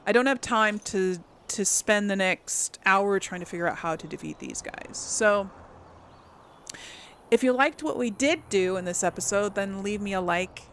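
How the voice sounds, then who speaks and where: A woman's voice: mid-range voice, a little slow, fairly bright tone. Female gaming host, mid-range voice, informal and chatty let's play commentary, slightly noisy recording. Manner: informal, chatty, addressing viewers directly.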